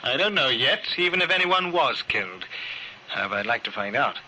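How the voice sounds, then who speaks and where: An actor playing a shrewd, coolly polite police inspector, dry and formal, in old radio drama style on a noisy recording. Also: male voice, medium pitch, big pitch swings, fairly quick, sharp tone. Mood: measured. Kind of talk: 1950s radio drama acting.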